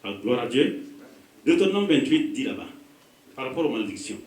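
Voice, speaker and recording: Pastor with a West African accent preaching in an echoey hall. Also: male voice, mid-range voice, sing-song, fairly quick, darkish tone, distant mic. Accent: West African French. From Burkina Faso. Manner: prompts the congregation with "quoi?" to finish his phrases. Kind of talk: call-and-response sermon. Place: church hall.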